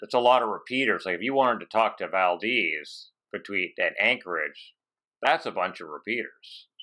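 Bright-voiced low-pitched man, halting interviewer. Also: animated. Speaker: podcast host. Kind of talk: casual technical interview. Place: home office with bookshelves, on a video call.

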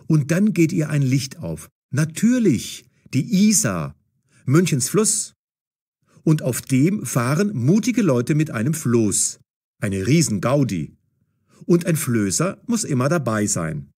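A man narrates a scripted story. His voice is middling in pitch and husky. He tells it with animated, wide-ranging intonation. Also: slow pace.

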